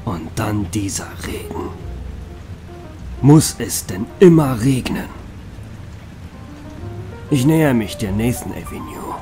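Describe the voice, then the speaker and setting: A weary, sardonic police detective in an audio drama, acted by a man. He grumbles slowly, with pitch swinging widely, over music and rain. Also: warm tone. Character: weary, grumpy male police detective, easily exasperated. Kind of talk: German audio drama acting.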